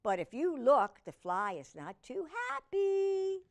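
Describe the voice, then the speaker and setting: A woman reads a picture book aloud for children in a very animated, swooping voice. Her pace is slow and deliberate, and she drops in chatty comments and questions as she goes. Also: sits high. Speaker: host of a children's read-aloud storytime. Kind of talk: reading a picture book aloud with casual asides to young viewers.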